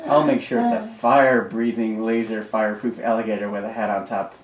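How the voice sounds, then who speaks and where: A man's voice: fairly low pitch, little pitch movement, a little fast, lightly acted. A man reading a storybook as a sleepy, patient daddy, mumbling reassurance to his boys. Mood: sleepy, reassuring. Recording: echoey home recording, distant mic, background noise.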